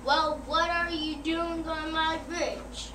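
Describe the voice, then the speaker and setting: Slow, high-voiced mean troll played by a child. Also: little pitch movement. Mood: demanding, threatening. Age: child, about eight or nine. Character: mean, rude old troll guarding his bridge. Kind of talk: school readers theater performance. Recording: echoey, noisy room, far from mic.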